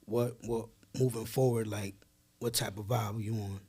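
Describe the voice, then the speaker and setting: A man's voice: fairly low pitch, fairly even intonation, normal speed, mellow, breathy edge. Slangy man hosting a casual interview. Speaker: interview host.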